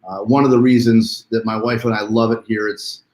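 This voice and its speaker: A man's voice: lowish voice, little pitch movement, fairly quick, slight background noise. A man hosting a live online interview in a chatty, welcoming, conversational way, with a roomy sound. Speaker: host.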